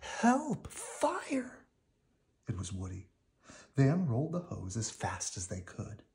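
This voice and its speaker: Man, children's read-aloud, mellow tone, animated intonation, expressive storyteller. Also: lowish voice, normal speed, gravelly. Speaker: picture book reader.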